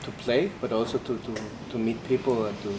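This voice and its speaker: A man from Guatemala with Spanish as his native language talks casually in an interview. His mid-pitched voice halts as he looks for words, and noisy ambience surrounds him. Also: fairly even intonation, fairly quick. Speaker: orchestra violist, interviewee.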